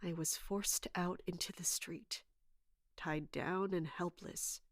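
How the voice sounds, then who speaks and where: A woman playing a wounded, caring but guarded royal guard captain in an audio drama, speaking earnestly and haltingly. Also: lowish voice, steady tone, dry recording, studio quality. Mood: pained, reluctant. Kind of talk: dramatic audio fiction acting.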